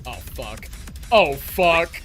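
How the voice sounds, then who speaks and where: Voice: medium pitch, animated, very slow, fairly bright tone. A young adult livestreamer giving casual, slangy, exclamatory commentary while reacting to gameplay. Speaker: video game livestreamer. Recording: game music behind the voice. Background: video game music and sounds.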